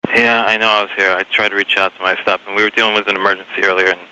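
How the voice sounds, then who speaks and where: A man's voice: lowish voice, little pitch movement, very fast, bright, forceful tone, muffled recording. Noisy radio, male air traffic controller. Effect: two-way radio. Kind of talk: clipped radio instructions to aircraft and vehicles.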